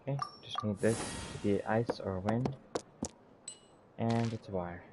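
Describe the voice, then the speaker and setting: Male gamer, lowish voice, casual think-aloud play commentary, short muttered fragments, noticeable background noise. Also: a little slow. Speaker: video game streamer. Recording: clearly noisy background.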